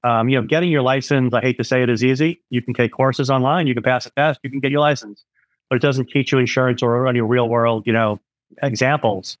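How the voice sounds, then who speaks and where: A man's voice: medium pitch, fairly even intonation, fairly quick, no echo. A middle-aged man, an independent insurance agent, explains things frankly over a video call, with many "um"s and "you know"s. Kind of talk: explanatory interview talk.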